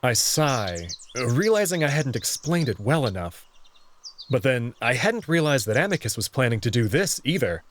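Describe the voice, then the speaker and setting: Man as a bewildered narrator, lively pitch. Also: moderate pace, a little hiss or hum, natural voice. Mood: narrating, bewildered. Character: bewildered young human man narrating his own story. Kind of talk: first-person visual novel narration and dialogue.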